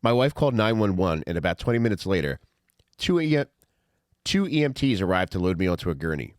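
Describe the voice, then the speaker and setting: A middle-aged man with a low voice talks in a blunt, conversational way, as if telling a comic memoir. The sound is close and dry, with very little room on it. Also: very animated, fairly quick, dry recording. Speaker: author reading own memoir and podcast host. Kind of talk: audiobook reading with casual comic asides.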